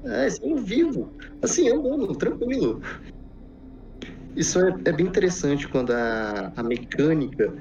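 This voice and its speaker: Higher-voiced Brazilian man, dull distant recording. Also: very animated, measured pace, gravelly. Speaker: podcast speaker. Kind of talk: casual anecdotal chat. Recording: dull lo-fi sound, off-mic.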